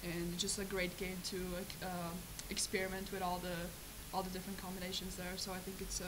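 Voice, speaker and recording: Flat-voiced young woman, athlete at a presser. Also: fairly low pitch, noisy background. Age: young adult. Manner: halting, many fillers, laughs easily. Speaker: college basketball player. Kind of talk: post-game press conference answers. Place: press room with sponsor backdrop. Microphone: table mic on stand.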